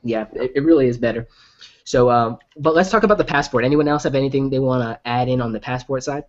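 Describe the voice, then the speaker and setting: A man with a medium-pitched voice hosts a casual tech podcast. He gives commentary and steers the panel discussion. The audio is slightly dull and lo-fi. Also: fast. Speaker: podcast host.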